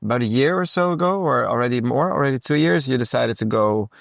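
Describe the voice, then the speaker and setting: A man's voice: medium pitch, expressive, fast talker, vocal fry, no echo, studio quality. A man hosting an interview at a dance event, upbeat and encouraging, with many short words of agreement. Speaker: podcast host. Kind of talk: casual interview. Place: salsa congress venue.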